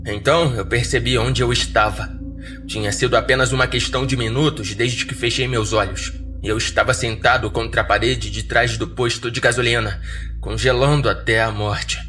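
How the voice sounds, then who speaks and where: A man's voice: mid-range voice, steady tone, normal speed, pushed and bright. A man with a Brazilian accent reading scripted first-person horror fiction as a podcast narrator. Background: music bed.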